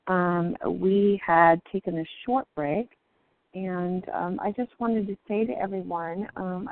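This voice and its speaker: A woman hosting a live indie music show, raspy from the flu, talking haltingly with many ums. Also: fairly low pitch. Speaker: radio show host. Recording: tinny phone-like line.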